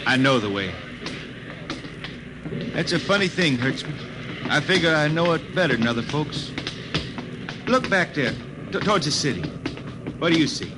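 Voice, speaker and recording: A man's voice: on the high side, expressive, normal speed, long pauses, over music, lightly acted. An actor playing a blind, wistful drifting spaceman balladeer with a rural Ozarks accent in a 1950s radio drama. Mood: dreamy, wistful. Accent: rural Ozarks American. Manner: folksy, wistful. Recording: noisy old 1950s radio broadcast.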